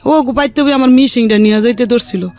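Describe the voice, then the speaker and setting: A middle-aged woman speaks in colloquial Bangladeshi speech, recounting her own life to an interviewer. She is plain-spoken and rambles from detail to detail. Soft music plays faintly behind her. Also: a little high, fairly quick. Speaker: interview guest. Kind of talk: personal life-story account.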